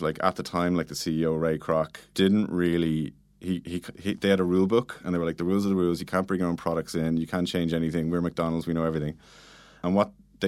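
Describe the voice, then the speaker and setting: Guy with a really low voice chatting quick in an interview, super informal, dry close sound. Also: dry recording. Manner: informal, filler-heavy explaining with frequent "like" and "you know". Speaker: interview guest, product manager. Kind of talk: casual conversational interview answers.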